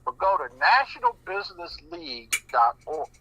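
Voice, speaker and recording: Animated, folksy male guest with a bright, gravelly voice, heard over a lo-fi line. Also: high-pitched, normal speed, dry recording. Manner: animated, repeats words, folksy, laughs easily. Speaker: guest speaker. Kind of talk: animated radio talk.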